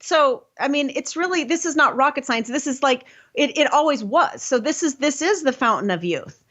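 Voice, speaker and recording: A woman hosting a health talk show, explaining in an emphatic, lively way. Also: high-pitched, normal speed. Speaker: host. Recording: slightly dull lo-fi sound.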